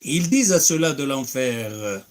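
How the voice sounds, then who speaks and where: A man's voice: mid-range voice, animated, a little slow. A man preaching and answering questions, emphatic, breaking into recited Arabic verses. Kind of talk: religious Q&A.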